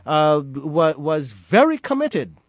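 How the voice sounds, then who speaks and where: A man's voice: fairly high pitch, very animated, slow pace, no echo, clean studio sound. A middle-aged man from Guyana hosting a radio program, speaking earnestly and often restarting his phrases. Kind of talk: reflective on-air commentary.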